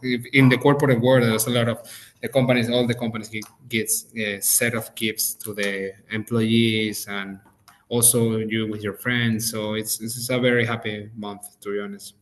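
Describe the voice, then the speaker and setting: A man from Venezuela with a Venezuelan accent, a native Spanish speaker talking casually as an interview guest, relaxed, restarting phrases and laughing easily. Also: lowish voice, normal speed, slight background noise, low-quality recording. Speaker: podcast guest.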